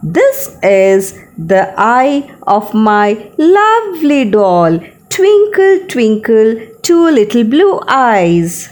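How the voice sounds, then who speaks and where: A woman voicing a sweet, playful younger sister in a children's story, a young girl doting on her doll, with a drawn-out sing-song delivery. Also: fairly high pitch, sing-song, a little mellow, exaggerated voice.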